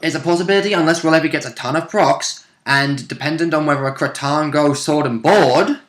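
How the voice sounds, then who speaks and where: A man's voice: on the high side, a little fast. Confident man weighing odds in a game. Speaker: co-commentator. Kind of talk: casual discussion of a tabletop game. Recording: roomy echo.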